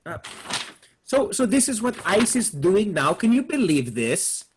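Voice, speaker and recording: A man's voice: high-pitched, very animated, a little slow, breathy edge, full character voice. A man acting a grumpy, worried, cynical father in a staged play reading. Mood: bitter, worried. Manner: grumbling, exasperated.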